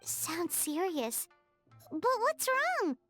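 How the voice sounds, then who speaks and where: A woman voicing a tiny, childlike, excitable fairy companion girl in a video game, perky and loyal. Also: extremely high pitch, drawn-out words, faint music behind, full character voice. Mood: puzzled. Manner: perky, speaks of self in third person. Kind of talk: English video game voice acting.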